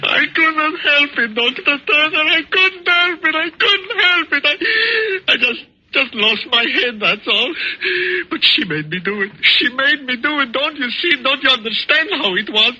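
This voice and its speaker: An actor playing a weak, sniveling young murderer, pleading in 1940s radio suspense style. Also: male voice, very high-pitched, expressive, unhurried, bright, forceful tone, muffled recording, exaggerated voice. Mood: sobbing, desperate. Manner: whining, pleading.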